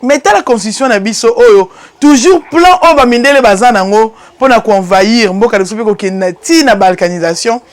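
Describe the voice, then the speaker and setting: Impassioned Congolese woman commentator. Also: a little fast. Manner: emphatic, rising in pitch and loudness on key points, then dropping low. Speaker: show host and commentator. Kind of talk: impassioned political commentary monologue.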